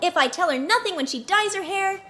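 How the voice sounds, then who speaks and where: A woman voicing a stubborn young boy, halting and earnest, pleading his case in a fan-dubbed comic report. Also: very high voice, animated, pushed and bright, heavily acted.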